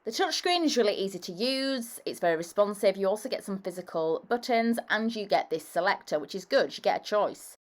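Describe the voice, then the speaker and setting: A woman's voice: medium pitch, lively intonation, a little fast, hardly any pauses. A woman reading an upbeat, scripted voice-over for a car review. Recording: voice-over with faint music underneath.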